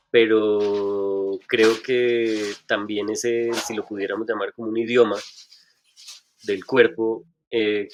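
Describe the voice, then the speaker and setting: A man's voice: fairly low pitch, a little hiss or hum. A middle-aged man, a stage performer, answering interview questions thoughtfully with frequent hesitations and restarts.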